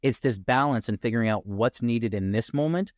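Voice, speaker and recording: A man who works as a coach, speaking as a guest in a casual podcast conversation in a reflective, measured way. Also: mid-range voice, no room sound, clean studio sound.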